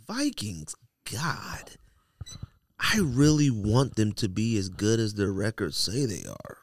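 A man's voice: on the low side, sing-song, slow pace, slight background noise. A man chatting casually as a podcast panelist, trading sports opinions and picks.

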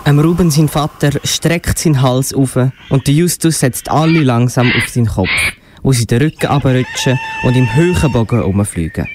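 A man's voice: mid-range voice, animated, normal speed. Swiss German male storyteller, faint noise. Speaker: narrator and presenter of a school radio play. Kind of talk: scripted storybook narration. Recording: slightly noisy amateur recording with clicks.

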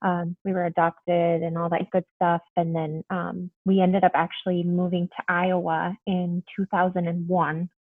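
A woman's voice: on the low side, little pitch movement, moderate pace, few pauses, no room sound. Young woman from Mexico, bubbly guest, tinny phone-like sound, dry. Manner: bubbly, chatty, frequent ums and laughs. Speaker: guest, police officer. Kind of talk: casual interview chat.

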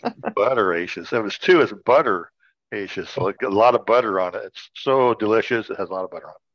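A man's voice: lowish voice, lively intonation, a little fast, a bit bright, no room sound, lo-fi sound. A man bantering casually as a word game contestant, repeating words and playfully riffing on them.

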